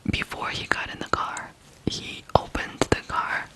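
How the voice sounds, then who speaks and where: A person from Ukraine telling stories in whispered ASMR style, softly and deliberately, pausing between short phrases. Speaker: ASMR true-crime host.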